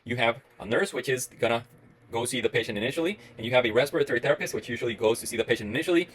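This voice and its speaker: A man from Costa Rica talks straight to camera in English with a Costa Rican Spanish accent. He speaks quickly at a mid pitch and rambles earnestly, with frequent filler sounds and restarts. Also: a bit bright, breathy edge. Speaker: internal medicine resident. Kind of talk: solo reflective talk to camera.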